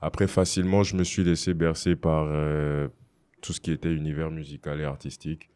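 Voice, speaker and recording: A young man from Togo, a rapper, chatting casually in an interview, relaxed and slangy, often adding "you see". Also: a bass voice, fairly quick, smooth. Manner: relaxed, slangy, drops English phrases, many "tu vois". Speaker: rapper guest.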